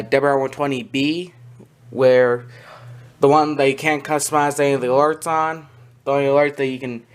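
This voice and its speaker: A hobbyist collector talking through items on camera in a casual, halting way, often trailing off between points. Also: mid-range voice. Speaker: collector presenting a hobby video. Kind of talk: casual product walkthrough. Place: indoors in a small room at home. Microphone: handheld camera or phone near the speaker.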